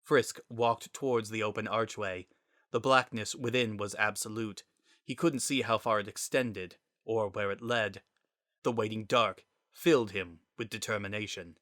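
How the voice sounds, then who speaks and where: A man's voice: fairly low pitch, steady tone, moderate pace, fairly bright tone, dry recording, studio quality. A man narrating a story as a dramatic scripted reading.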